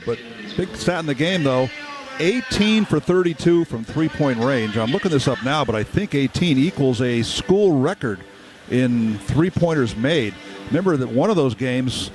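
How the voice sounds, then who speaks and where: A man working as a color analyst on live sports radio, recapping stats and game situations. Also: mid-range voice.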